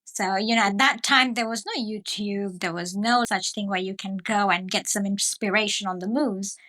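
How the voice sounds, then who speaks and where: A woman's voice: medium pitch, normal speed, sharp tone. A middle-aged woman from Mayotte telling her own story conversationally as an interview guest. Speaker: podcast guest, dance teacher.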